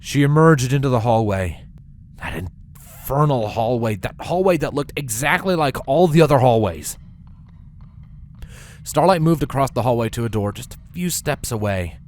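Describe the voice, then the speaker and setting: A man narrating a scripted story with a dramatic, expressive delivery. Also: mid-range voice, normal speed, slight background noise.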